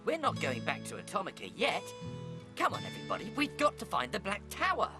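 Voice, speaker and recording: An actor voicing a brisk, decisive young adventure leader in a cartoon, urging others on, with music behind. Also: medium pitch, huge pitch swings. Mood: resolute. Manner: urging, take-charge. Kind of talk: animated cartoon acting.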